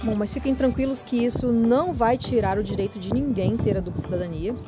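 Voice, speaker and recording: A woman's voice: fairly high pitch, fairly quick, over loud music. A Brazilian woman with a Brazilian Portuguese accent, hosting a casual vlog and explaining things step by step, often restarting her words. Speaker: vlogger.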